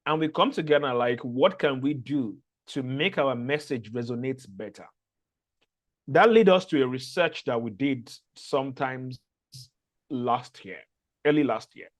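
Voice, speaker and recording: A man's voice: medium pitch, a little slow. A man from Nigeria with a Nigerian accent, English not his first language, giving an earnest onboarding talk to a fellowship cohort with frequent restarts. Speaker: fellowship organizer.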